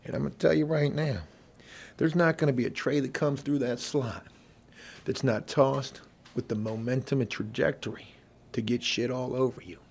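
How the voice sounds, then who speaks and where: A man playing a middle-aged veteran inmate who bosses the prison kitchen, in an ASMR role play with a gravelly, husky voice and a folksy, intimidating manner. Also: mid-range voice, fairly even intonation, slight background noise, lightly acted. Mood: warning. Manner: folksy, intimidating, drawn-out menacing delivery. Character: gruff, bossy veteran male prison inmate running the kitchen.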